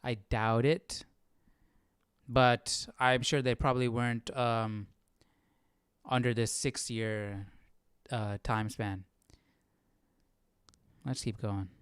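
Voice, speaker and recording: A man's voice: medium pitch, fairly even intonation, slow, pauses often, clear, resonant tone, dry recording. A man hosting a Bible study livestream, reading aloud and commenting casually, often questioning and checking for agreement.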